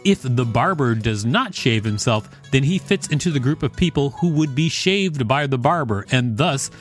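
A man's voice: mid-range voice, lively intonation, moderate pace, soft background music. A man hosting a podcast, reading an encyclopedia article aloud with joking, informal commentary. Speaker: podcast host.

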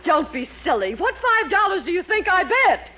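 Excitable, swooping middle-aged radio wife. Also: female voice, very high voice, normal speed. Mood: brisk. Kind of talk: 1940s radio situation comedy acting. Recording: noisy muffled 1940s radio, audience behind.

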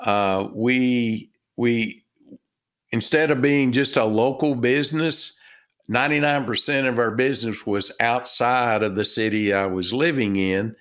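A middle-aged man from Texas with a Texan accent, a guest telling his story in a halting way with many fillers and restarts. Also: mid-range voice, fairly bright tone. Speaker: guest, retired business owner and author. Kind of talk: casual interview talk.

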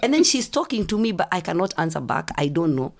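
A middle-aged woman from Kenya, telling lively stories as a podcast guest and acting out the voices of people she quotes. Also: lowish voice, quick pace, creaky. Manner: animated storyteller, acts out quoted voices. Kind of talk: casual storytelling interview.

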